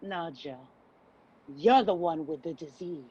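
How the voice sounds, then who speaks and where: A woman's voice: fairly low pitch, measured pace, dark tone, breathy edge, dull audio. A woman playing a defiant, needling homeless woman in a dramatic play reading, confrontational and sardonic.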